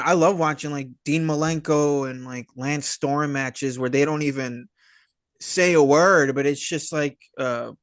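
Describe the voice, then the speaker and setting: Casual man hosting, halting and agreeable, very dry. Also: fairly high pitch, fairly bright tone, dry recording. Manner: halting, agreeable, slangy with swearing. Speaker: podcast host. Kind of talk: casual chat.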